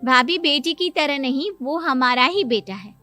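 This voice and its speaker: Woman acting a bright, high-voiced devoted young wife. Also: animated, a little slow, soft background music, exaggerated voice. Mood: affectionate. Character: gentle, devoted childless young wife and aunt. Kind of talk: dramatised Hindi moral story acting.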